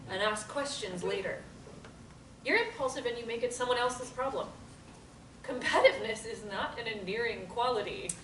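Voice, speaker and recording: A woman voices a collected, self-assured woman who answers rudeness with cool, cutting patience. Her voice is a touch high and lively in pitch. It sounds distant and roomy, with clear background noise. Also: lightly acted. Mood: measured. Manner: calm, measured, politely cutting. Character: composed, prim, self-assured woman in group therapy. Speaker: table-read actor. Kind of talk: script table reading.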